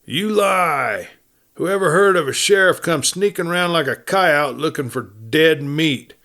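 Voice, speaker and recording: A man performs a big, bold outlaw from a western storybook. He is defiant and taunting, and he blusters in rough cowboy talk. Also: fairly high pitch, a little slow, pushed and bright, voice pushed up. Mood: scornful shouting.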